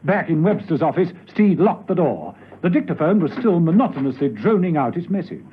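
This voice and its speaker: Animated male narrator, tinny old radio. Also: a little high, a little fast. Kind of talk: dramatic scripted narration, vintage spy radio serial. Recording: tinny, narrow old radio recording, faint noise.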